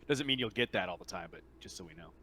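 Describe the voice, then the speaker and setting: A man explaining tabletop game rules casually as game master, in a halting, hesitant way. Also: lowish voice, lively intonation, pushed and bright, slight background noise.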